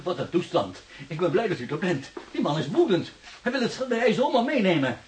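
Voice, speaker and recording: An actor playing an anxious, agitated antique dealer in a radio drama, with a fretful, hurried manner. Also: male voice, sits high, very animated. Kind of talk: Dutch radio drama acting.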